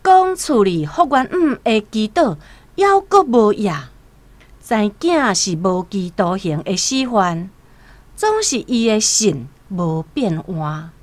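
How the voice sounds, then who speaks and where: Slow, very animated Taiwanese woman storyteller. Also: mid-range voice, no echo. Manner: expressive, deliberate. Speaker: narrator. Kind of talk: dramatic scripted storytelling for radio. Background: music.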